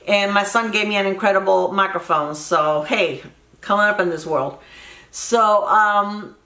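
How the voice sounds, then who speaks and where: A woman in her sixties, originally from Cuba, talks openly to her viewers, wandering between thoughts with lots of fillers. Her voice sits low yet has a bright, forceful edge. The room around her rings with echo. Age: 60s. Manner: candid, rambling, many fillers. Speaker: beauty vlogger. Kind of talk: casual chat to camera. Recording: echoey room.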